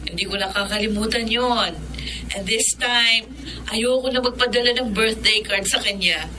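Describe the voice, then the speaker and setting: A woman's voice: on the high side, normal speed, noisy background, close to an everyday voice. An actress with a Filipino accent playing a cheerful, teasing young woman in a radio drama. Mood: cheerful, fond.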